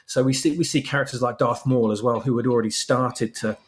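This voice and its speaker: A man's voice: mid-range voice, steady tone, a little fast. A man giving conversational, reflective commentary as a panelist, explaining his ideas as he goes. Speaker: podcast guest panelist.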